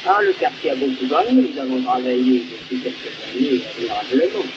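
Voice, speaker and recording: A man's voice: medium pitch, expressive, fairly quick. A man from Paris with a Parisian accent and a drawling voice, chatting as an interviewee on a muffled, noisy early recording. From Paris, France. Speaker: interviewee, upholsterer. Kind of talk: informal interview conversation.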